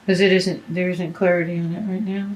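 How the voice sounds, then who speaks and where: A woman giving a tarot reading, losing her voice, talking in a halting way with many fillers and restarts. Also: lowish voice, flat delivery, a little hiss or hum, rough recording. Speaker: tarot reader.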